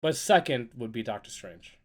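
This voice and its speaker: A man's voice: medium pitch, expressive, moderate pace. A man hosting a casual podcast chat, still congested and stuffy-nosed from being sick, upbeat and steering the questions. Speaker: podcast host.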